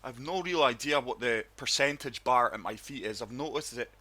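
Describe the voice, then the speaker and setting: A man with a sore throat, hosting his gaming channel and narrating a golf game in calm, steady play-by-play. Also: mid-range voice, normal speed. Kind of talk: casual gameplay commentary.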